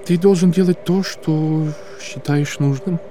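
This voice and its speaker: A man's voice: fairly high pitch, steady tone, a little slow, dark tone, a little hiss or hum, pitched above the performer's own voice, close to an everyday voice. A man voicing a composed, pragmatic male boss on the phone in a dramatized audiobook. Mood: calm, guarded.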